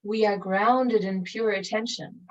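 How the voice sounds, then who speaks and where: Female meditation teacher, calm and measured, unhurried phrases with long pauses, mid-range pitch, recorded at a distance with dulled sound. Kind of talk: guided meditation, spoken slowly with long pauses. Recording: distant mic, dull muffled sound.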